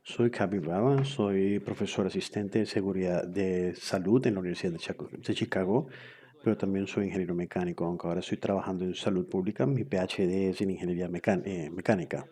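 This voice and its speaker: A man's voice: low-pitched, steady tone, brisk, mellow, breathy edge. A man interpreting a webinar lecture into another language in real time, hesitant, with frequent fillers and self-corrections.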